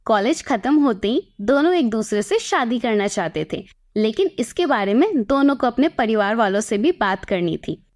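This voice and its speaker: Woman, storyteller, higher-pitched voice, scripted folk-tale narration. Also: lively intonation, moderate pace, darkish tone.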